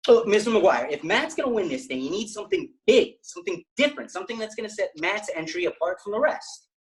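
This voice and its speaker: A man's voice: high-pitched, expressive, fast talker, low-quality recording. A stammering teen boy. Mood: hesitant. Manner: hesitant, stammering restarts. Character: quick-witted teen boy, aspiring filmmaker. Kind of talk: table read of a sitcom script.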